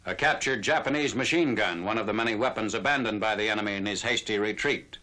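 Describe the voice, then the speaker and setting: A man's voice: on the low side, fast talker, pushed and bright. A man narrating a 1940s military newsreel in a formal, factual announcer style. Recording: noisy vintage 1940s newsreel soundtrack.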